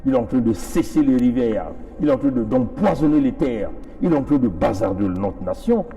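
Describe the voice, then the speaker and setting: Dark-voiced Congolese man, impassioned political address. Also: mid-range voice, expressive, brisk, soft background music. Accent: Congolese French. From Congo-Brazzaville. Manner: emphatic, repeating phrases for effect. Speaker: political activist.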